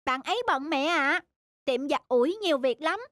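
High-voiced, bubbly little cartoon girl. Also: lively intonation, moderate pace, a bit bright, no echo, studio quality. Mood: chatty. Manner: bubbly, childlike. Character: eager, affectionate little girl with big enthusiasm. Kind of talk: animated cartoon dialogue.

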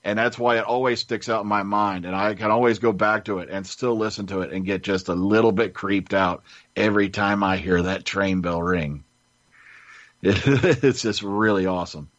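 A man with a fairly deep voice tells stories casually as a panel guest. He is emphatic and keen, recorded very dry with a slightly dull, lo-fi sound. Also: moderate pace, a bit bright, dry recording. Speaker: podcast panelist. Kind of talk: casual storytelling chat. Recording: dull, muffled lo-fi audio.

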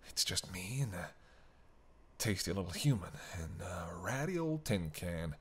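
Man playing a low-voiced, snarky, cranky male vampire. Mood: irritated. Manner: sardonic, teasing, dry banter. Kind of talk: roleplay audio drama, intimate one-sided dialogue. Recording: steady rain and hiss behind.

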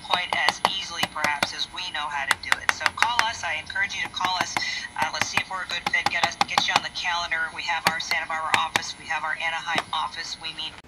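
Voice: mid-range voice, level tone, quick pace, heavy background noise. A person giving financial talk on the radio, heard through an old radio speaker.

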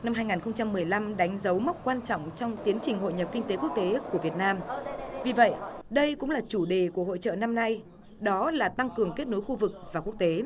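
A woman narrating a television news report in a steady, formal reading voice. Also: medium pitch, a little fast, crowd noise. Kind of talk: scripted news voice-over.

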